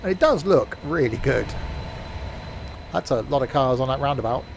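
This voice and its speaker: A man's voice: medium pitch, expressive, moderate pace, gravelly, a little hiss or hum. A man, chatting casually and rambling with fillers while live-streaming a game.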